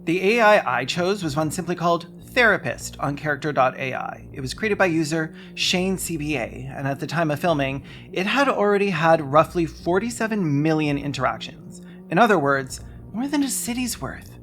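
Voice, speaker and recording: Video essay host, medium pitch, sarcastic and dry-witted scripted narration, light music underneath. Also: moderate pace, hardly any pauses. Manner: sarcastic, wry deadpan jokes. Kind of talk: scripted narration with comic asides.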